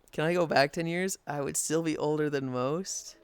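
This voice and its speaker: A woman in her thirties chatting on a casual livestream, swearing freely and laughing through animated rants while she exercises. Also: sits low, a little slow, over music. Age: 30s. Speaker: streamer.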